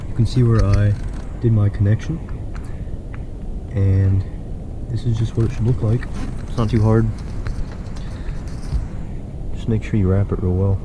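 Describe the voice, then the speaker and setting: A man casually walking through a do-it-yourself wiring job, relaxed and rambling with plenty of fillers. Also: lowish voice, measured pace, pauses often, lo-fi sound, noisy background. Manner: laid-back, rambling, filler-heavy explaining. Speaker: DIY video host. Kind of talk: casual how-to walkthrough. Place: inside a pickup truck and around it, at night.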